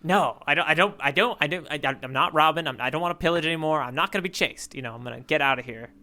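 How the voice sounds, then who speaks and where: A man's voice: fairly high pitch, quick pace, sharp tone. A man co-hosting a podcast in a casual, rambling, playful way. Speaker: podcast co-host. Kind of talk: casual banter about manga.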